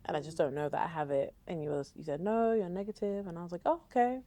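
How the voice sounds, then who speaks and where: A woman's voice: fairly low pitch, quick pace, dark tone, dry recording. Chatty young woman, emotional and casual. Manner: chatty, emotional, uses lots of "like". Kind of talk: intimate interview conversation.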